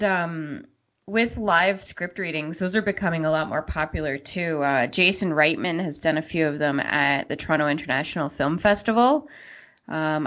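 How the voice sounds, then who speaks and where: A woman with a slightly low voice hosts a relaxed interview. She sounds informal and enthusiastic, chatting conversationally. The recording is a little dull and lo-fi. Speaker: podcast host. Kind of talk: casual interview chat.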